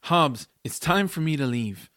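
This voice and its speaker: A man's voice: a little high, expressive, unhurried, fairly bright tone, no echo, clean studio sound, pitched above the performer's own voice. A man playing an earnest, eager young boy hero in a family fantasy audiobook drama. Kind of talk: audiobook drama character acting.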